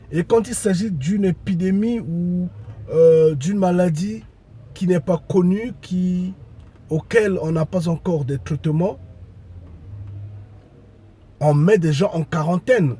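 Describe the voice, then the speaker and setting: A man with a cold preaches slowly and deliberately, his voice husky and mellow. He stresses his points and often repeats key phrases. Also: sits high. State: congested with a cold. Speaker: spiritual preacher. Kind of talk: video sermon to followers.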